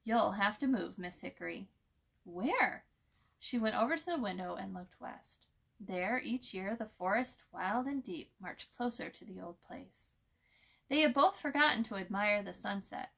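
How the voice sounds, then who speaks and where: A woman storyteller reading a children's book aloud in an expressive narrating style. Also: medium pitch, normal speed, vocal fry.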